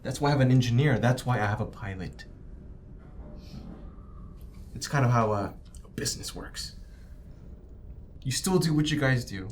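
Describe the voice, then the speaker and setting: Man acting as smug corporate agent, domineering, animated pitch, tabletop sci-fi game, faint background noise. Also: a little fast, close to an everyday voice. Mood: smug, commanding. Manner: domineering, condescending. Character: bossy, smug corporate company agent, male. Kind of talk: tabletop roleplay in character.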